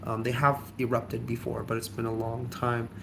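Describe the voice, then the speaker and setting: A young man talks in a loose, rambling vlog style, full of ums and likes, his voice a little nasal from a cold. His pitch sits in an ordinary middle range. A faint hiss of background noise runs under the recording. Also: completely flat delivery, moderate pace, gravelly. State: sick, slightly nasal from a cold. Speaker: travel vlogger. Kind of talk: casual vlog chat.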